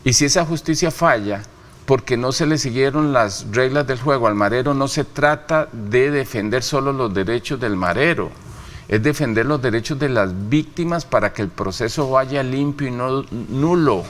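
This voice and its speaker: A man's voice: mid-range voice, moderate pace. A man from Rica, giving emphatic interview answers full of rhetorical questions. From Costa Rica. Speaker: interview guest, human rights official.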